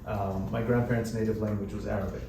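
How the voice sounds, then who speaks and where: A man who grew up in the United States, speaking on a panel in a relaxed, conversational way with lots of "you know" fillers. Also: on the low side, quick pace. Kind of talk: panel discussion. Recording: roomy hall, distant mic, background noise.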